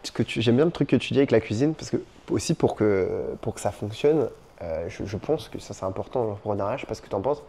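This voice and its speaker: A man with a mellow, warm-toned voice hosts a relaxed conversation, agreeing readily and winding through long questions. His delivery is loose and chatty, with frequent restarts. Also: on the low side, quick pace, hardly any pauses. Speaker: interviewer, live host. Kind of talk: casual interview conversation.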